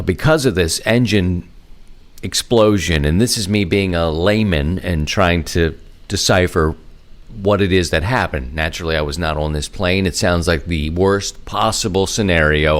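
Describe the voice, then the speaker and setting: Male podcast host, low register, casual conversational delivery in short, halting phrases. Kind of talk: casual solo podcast talk.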